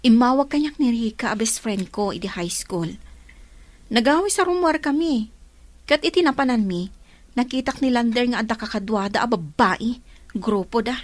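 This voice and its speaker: A woman's voice: on the high side, animated, moderate pace, lightly acted. A woman with an Ilocano accent playing a hardworking young woman with a deceitful boyfriend in a radio drama. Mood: hurt, disappointed. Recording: music behind the voice.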